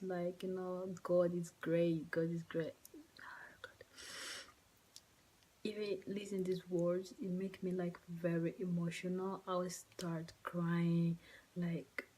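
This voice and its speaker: A young woman who speaks English as a second language, telling a personal story to camera in a halting, soft, emotional way with many fillers. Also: lowish voice, low-quality recording. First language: not English. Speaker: vlogger. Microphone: phone or camera mic.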